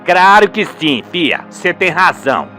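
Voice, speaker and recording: Radio soap role: vengeful old rustic dad played by a male narrator, folksy, indignant, unhurried, light music bed. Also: high voice, very animated, bright, forceful tone, full character voice. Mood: scornful, determined. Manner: folksy, emphatic rustic speech. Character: older rural father, indignant, vengeful, colloquial.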